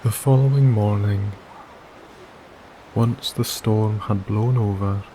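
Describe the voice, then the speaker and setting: A man narrates a sleep story in a soft, soothing voice, low and nearly flat in pitch. He speaks very slowly, leaving long pauses between phrases. A steady background hiss sits under his voice. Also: low-quality recording. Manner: slow, soothing, gentle delivery with long pauses. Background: wilderness ambience.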